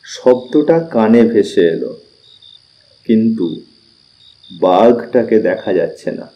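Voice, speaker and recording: A man narrating a scripted suspense story in a measured, deliberate storytelling manner, with pauses. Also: lowish voice, dull audio. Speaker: audiobook narrator.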